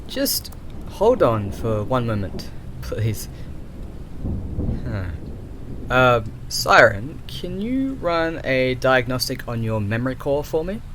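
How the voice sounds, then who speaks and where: A man acting a nervous, secretive AI developer in an audio roleplay, halting and stammering as he mutters. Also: mid-range voice, big pitch swings, noisy background. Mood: distracted, uneasy. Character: nervous, secretive male AI developer, flustered and suspicious.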